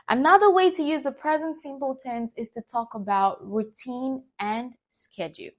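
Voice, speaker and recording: A young adult woman whose first language is Yoruba, teaching a beginner English grammar lesson slowly and carefully. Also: fairly high pitch. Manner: slow, careful, reads example sentences deliberately.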